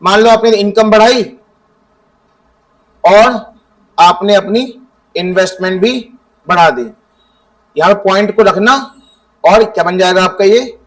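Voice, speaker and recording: Male teacher, high voice, quizzes the class and answers himself. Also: low-quality recording. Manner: asks students questions and answers them himself; reads definitions from the book slowly. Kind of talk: classroom economics lesson.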